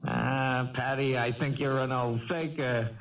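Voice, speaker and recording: A man's voice: mid-range voice, fairly even intonation, unhurried, natural voice. An actor playing an earnest, kindly farm boy, eager and hesitant, in a 1970s radio drama. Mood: teasing. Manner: hesitant, stammering. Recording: dull, noisy, distant old radio broadcast.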